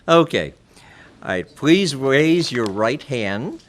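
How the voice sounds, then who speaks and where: Male election chairman at a club meeting, medium pitch, jocular and halting, informal ceremonial announcements. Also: sing-song.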